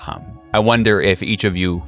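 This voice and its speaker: A man acting as game master, improvising descriptive horror narration in a halting, casual way. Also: low voice, steady tone. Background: soft music.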